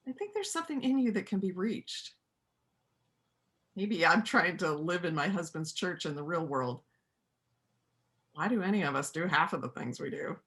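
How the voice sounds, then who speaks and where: Bright-voiced, gentle widowed farm woman, acted by a woman. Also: on the low side, animated, moderate pace, pauses often. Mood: reflective, earnest. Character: Iris, kind widowed farm-animal worker and painter. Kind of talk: stage reader's theater dialogue.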